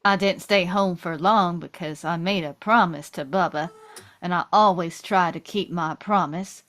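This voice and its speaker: A woman's voice: lowish voice, moderate pace, fairly bright tone, lightly acted. A woman playing a simple, sincere Southern man who tells his story, at a table read of a film script.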